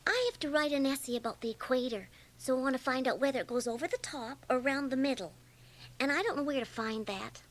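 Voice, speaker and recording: An actress playing a coy, simple schoolgirl in a radio drama, girlish and flattering. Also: high voice, fast. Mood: coy, naive.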